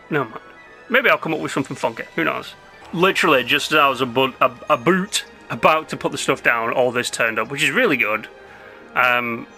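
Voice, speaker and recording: A young man talks his way through a city-building game in a loose, rambling patter, often breaking off mid-sentence. His voice is very bright but a little hoarse, as if he is losing it. Soft game music plays in the background. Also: mid-range voice. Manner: chatty, rambling, self-interrupting. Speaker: gameplay commentator. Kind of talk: casual gameplay commentary.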